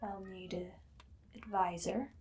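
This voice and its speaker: A woman voicing an imperious, menacing evil queen in a whispered ASMR roleplay, speaking softly and deliberately with a condescending, commanding air. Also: medium pitch, steady tone. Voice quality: breathy, soft, much of it whispered.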